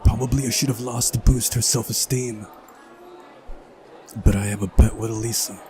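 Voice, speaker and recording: A man's voice: fairly low pitch, steady tone, moderate pace, faint music behind. A man doing a visual novel playthrough, switching between reading narration aloud and casual commentary.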